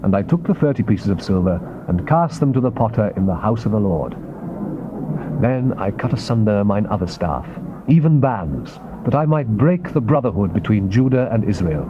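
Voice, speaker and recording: A noisy, muffled old tape carries a man narrating scripture. His delivery is solemn and theatrical, with medium pitch that rises and falls widely as he declaims the King James text. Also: big pitch swings, normal speed. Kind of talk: dramatized King James Bible reading.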